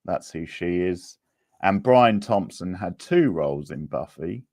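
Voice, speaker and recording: A man's voice: low voice, expressive, unhurried, a little mellow, no room sound, studio quality. A man hosting a casual quiz, chatting and answering participants as he reads out questions. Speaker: quiz host.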